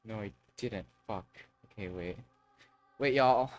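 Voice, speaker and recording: A man's voice: fairly low pitch, takes it slowly. A man livestreaming a game, chatting casually and thinking aloud, with some swearing. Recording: dry close sound, faint music.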